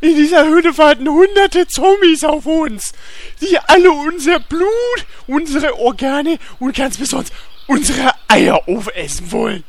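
A man voicing an excitable, paranoid, dim-witted male adventurer in a German comedy fantasy audio drama, rambling in alarm. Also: extremely high pitch, measured pace, full character voice.

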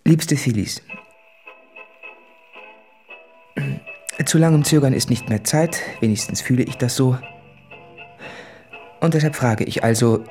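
An actor playing an anguished, self-doubting son in a radio play, delivering intimate literary monologue in an earnest, deliberate manner. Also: male voice, mid-range voice, a little mellow, close to an everyday voice. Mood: earnest pleading.

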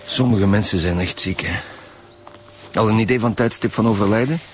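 An actor playing a sober, suspicious lead detective with a Flemish accent, formal and dry, in a radio crime drama. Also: male voice, lowish voice, a little fast, a little hiss or hum, natural voice. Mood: musing.

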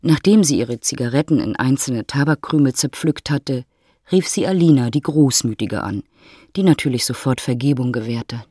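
An actor playing the detached storyteller of an urban love story, reading literary narration in a radio play. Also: male voice, mid-range voice, animated, normal speed, warm tone, husky, dry recording, studio quality. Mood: matter-of-fact.

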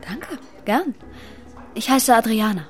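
A woman's voice: on the high side, lively intonation, slow pace. An actress playing a curious, breezy young woman in a radio drama, casual and friendly. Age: young adult.

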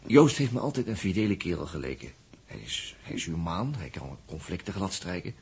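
An actor playing an easygoing, wry spaceship mechanic in a 1960s radio drama, musing aloud in a colloquial, halting way. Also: male voice, low-pitched, big pitch swings, creaky, darkish tone, husky, full character voice. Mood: earnest. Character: easygoing, wry male spaceship mechanic, philosophizing and self-mocking. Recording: noisy old 1960s radio drama recording.

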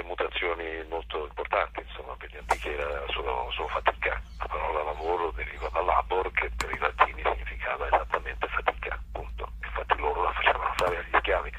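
A man with a cold, explaining reflectively and haltingly as an interview guest. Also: deep voice, sharp tone, husky, muffled recording. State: has a cold. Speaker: author and actor guest.

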